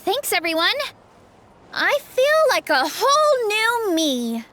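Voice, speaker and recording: A woman's voice: very high voice, sing-song, slow, a bit bright, heavily acted. A woman playing an earnest, mild young heroine in a video game, speaking straightforwardly. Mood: contented. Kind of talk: animated video game acting. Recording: faint game music behind.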